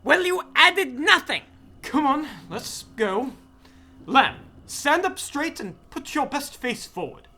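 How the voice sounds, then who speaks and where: A woman playing a snappy, exasperated vampire attendant in an audio drama, bossy and quick to bicker. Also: high-pitched, big pitch swings, noisy background, heavily acted. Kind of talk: cinematic audio roleplay acting.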